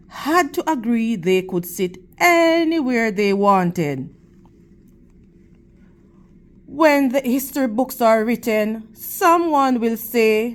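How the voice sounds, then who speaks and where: A woman reading a picture book aloud to children like a teacher, in deliberate, measured phrases. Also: fairly high pitch. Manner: deliberate, measured phrasing with questions and praise addressed to young listeners.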